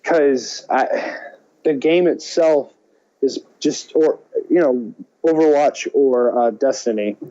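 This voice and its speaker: A man co-hosting a podcast, chatting casually and thinking aloud in halting, hesitant phrases. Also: mid-range voice, warm tone, highs cut off. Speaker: podcast co-host. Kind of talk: casual chat about movies and games.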